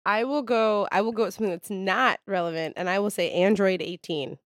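A woman's voice: mid-range voice, brisk. A woman co-hosting a podcast who chats casually, often cutting in and joking. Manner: bubbly, interjecting, giggly.

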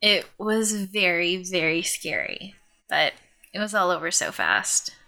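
A woman's voice: lowish voice, very animated, measured pace, pushed and bright, full character voice. A woman playing a sweet, sincere, slightly dramatic younger teenage sister in a naturalistic audio drama. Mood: shaky, recovering.

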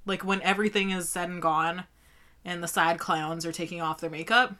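A woman's voice: fairly low pitch, steady tone, normal speed, bright, forceful tone. A young woman co-hosting a casual beer podcast, spinning silly stories and dropping dry, whimsical quips. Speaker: podcast co-host. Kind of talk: casual beer-tasting chat.

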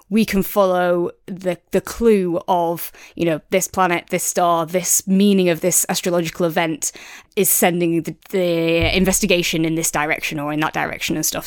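A woman's voice: lowish voice, smooth, no echo, clean studio sound. A woman co-hosting a podcast, talking through a review in an agreeable, thoughtful, conversational way. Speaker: podcast co-host reviewing audiobooks. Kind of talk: casual discussion.

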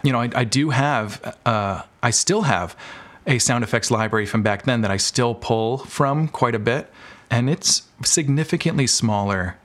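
A man's voice: mid-range voice, normal speed, a bit bright. A man talking casually as an interview guest, explaining his creative work with examples. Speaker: podcast guest, audio drama maker and filmmaker.

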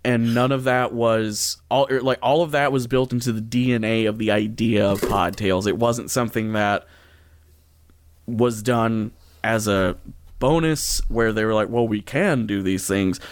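A man's voice: fairly low pitch, steady tone, unhurried, smooth. A man hosting a casual podcast chat in a joking, wry manner. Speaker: podcast host.

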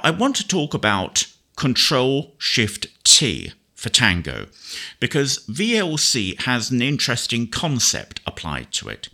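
A man presenting a casual software tutorial in a conversational, explanatory way. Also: mid-range voice. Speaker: presenter demonstrating screen reader software.

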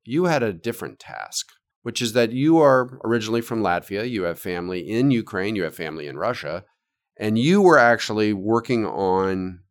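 A deep-voiced man hosts an economics podcast and lays out his points one by one in a calm, explanatory way. His voice sits very low, and the delivery stays measured throughout. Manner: measured, explanatory, lists takeaways. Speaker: podcast host. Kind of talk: economics interview and wrap-up.

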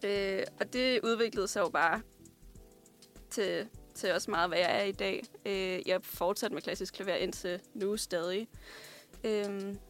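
A woman's voice: mid-range voice, steady tone, soft background music. A woman from Denmark answering interview questions as a guest musician, casually and reflectively, feeling her way through her sentences. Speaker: guest singer, songwriter and pianist.

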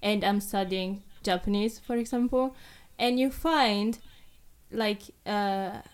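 A woman's voice: medium pitch, steady tone, slow pace, clean tone. A young woman, hosting a casual chat and rambling with many fillers. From Europe.

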